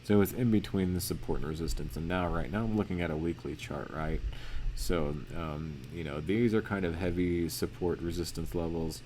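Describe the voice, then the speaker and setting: A man teaching forex chart analysis in a casual, monotone way with lots of fillers and restarts. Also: low-pitched, moderate pace, slight background noise. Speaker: forex trading educator.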